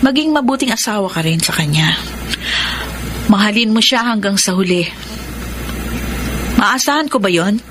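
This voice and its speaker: A woman's voice: medium pitch, animated, normal speed, long pauses, lightly acted. A woman playing a guarded but dutiful grown stepdaughter in a Filipino radio soap, matter-of-fact, with a Filipino accent. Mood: guarded, sincere. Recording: noisy radio drama with ambience.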